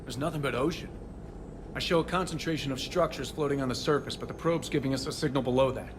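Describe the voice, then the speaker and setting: A man's voice: medium pitch, quick pace, noisy background, lightly acted. A man playing a level-headed military shuttle pilot in a video game, giving businesslike reports. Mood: measured, concerned. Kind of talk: dramatic video game acting. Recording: loud vehicle cabin ambience.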